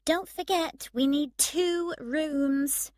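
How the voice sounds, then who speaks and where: A woman's voice: a little high, sing-song, measured pace, fairly bright tone, no echo, studio quality, pitched above the performer's own voice, full character voice. A woman voicing a frank, unimpressed young woman who speaks directly to her friend in an audiobook story. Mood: insistent.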